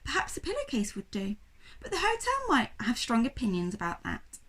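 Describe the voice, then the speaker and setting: A woman's voice: on the high side, wildly swooping pitch, a little fast, a bit bright, exaggerated voice. A woman voicing an anxious, determined young girl who talks to herself urgently in a children's storybook. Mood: hushed, resolute. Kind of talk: children's storybook character reading.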